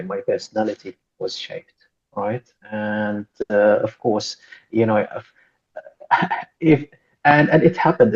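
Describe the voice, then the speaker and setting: Low, dark-voiced man. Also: muffled recording. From Syria. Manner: slow, thoughtful, full of fillers and tag questions. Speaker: podcast co-host. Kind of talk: casual panel discussion.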